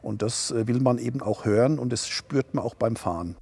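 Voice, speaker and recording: A man in his sixties, co-owner of a dealership, talking calmly in an interview with many hesitations. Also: mid-range voice, fairly quick, gravelly. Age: 60s. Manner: relaxed, hesitant with many fillers. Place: showroom, seated on a sofa indoors.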